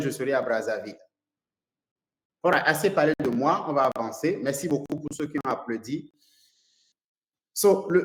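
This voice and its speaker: A man from Nigeria whose first language is English, a consultant and coach giving a seminar to a live audience, speaking haltingly with many fillers and repeated words. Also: fairly high pitch, reverberant, poor audio.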